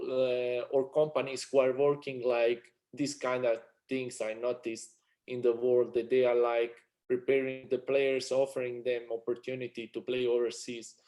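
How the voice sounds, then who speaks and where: A man's voice: medium pitch, a little mellow. A man from Serbia with a Serbian accent, a sports agency owner speaking non-native English in a casual interview, full of restarts and fillers.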